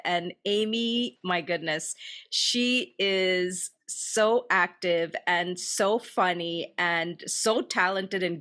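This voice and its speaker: A woman's voice: on the low side, pushed and bright. A woman hosting a podcast, talking in a gushing, effusive way and stretching words for emphasis. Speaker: podcast host. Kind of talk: casual chat.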